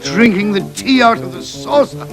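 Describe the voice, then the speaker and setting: An actor playing a vain, snobbish, melodramatic ugly stepsister as a camp, haughty pantomime dame. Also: male voice, high-pitched, moderate pace, over music, close to an everyday voice. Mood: haughty, indignant.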